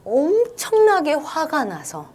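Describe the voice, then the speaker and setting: A woman's voice: high voice, slow. A woman teaching an online English lesson, walking young students through a story line by line and coaxing them to think along. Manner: lively, coaxing, talks to students directly.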